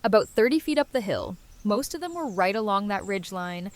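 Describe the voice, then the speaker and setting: A woman's voice: medium pitch, expressive, moderate pace, natural voice. Woman acting a wry, blunt survivor. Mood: matter-of-fact. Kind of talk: fan audio drama acting.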